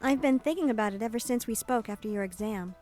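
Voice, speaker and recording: A woman's voice: fairly high pitch, fast, darkish tone, no room sound, lightly acted. A woman playing a young, indecisive love interest in a visual novel. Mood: apologetic, hesitant. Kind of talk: visual novel character acting. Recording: music behind the voice. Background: game music.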